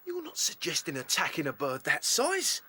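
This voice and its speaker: An actor playing an officious, suspicious rabbit captain in a radio play, fussy and barking out orders. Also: male voice, fairly high pitch, huge pitch swings, normal speed, pushed and bright, husky, full character voice.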